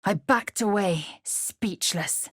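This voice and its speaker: A woman playing a fierce, haughty alien warrior in a video game, with a husky, raspy voice and clipped, imperious, formal delivery. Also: medium pitch, slow, no echo, clean studio sound. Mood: grim, narrating. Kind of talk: video game character acting.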